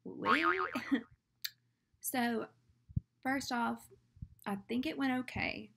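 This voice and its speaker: A woman's voice: on the high side, extremely slow, creaky, a bit bright, slight background noise. Chatty young Southern woman vlogging. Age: young adult. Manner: chatty, rambling, fillers like "like". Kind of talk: casual talk to camera. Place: small room indoors.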